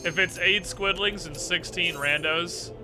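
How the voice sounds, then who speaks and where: A man's voice: sits high, unhurried, poor audio. A man giving casual, joking gaming commentary as a streamer, with some swearing. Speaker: game streamer. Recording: music behind the voice. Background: game music.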